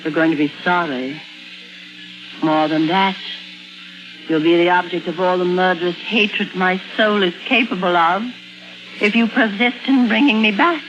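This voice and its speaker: An actress playing an anguished woman patient with lost memory, in an intense, halting 1950s radio drama style. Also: fairly low pitch, fairly bright tone, lightly acted. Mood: bitter, threatening. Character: anguished amnesiac woman patient who believes she murdered. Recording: muffled vintage radio recording with music.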